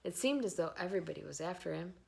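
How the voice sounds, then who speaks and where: Female storybook reader: slightly low voice with creaky fry, expressive read-aloud narration. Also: quick pace.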